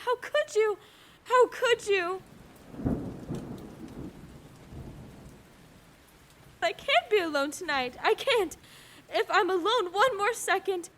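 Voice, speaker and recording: A woman's voice: a bit bright, slight background noise, exaggerated voice. A woman playing a demanding, self-pitying bedridden wife in a melodramatic suspense radio play. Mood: distraught, tearful. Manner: shrill, imperious, melodramatic.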